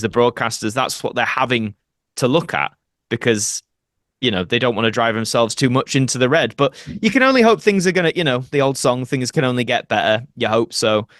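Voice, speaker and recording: A man's voice: mid-range voice, fairly bright tone, no echo, studio quality. A man speaking as a podcast guest in casual interview conversation, earnest and reasoned.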